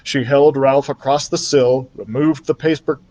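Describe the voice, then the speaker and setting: A man's voice: medium pitch, steady tone, a little slow, a little mellow. A man narrating a children's storybook expressively, as a library storyteller reading aloud.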